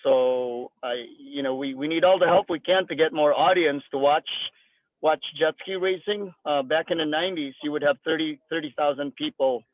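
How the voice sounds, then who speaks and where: A man's voice: a little high, steady tone, normal speed, dry recording. A man in his fifties from the Philippines, a jet ski racer giving an interview, talking loosely with many fillers and restarts. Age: 50s. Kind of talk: interview, casual talk. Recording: tinny phone-like line.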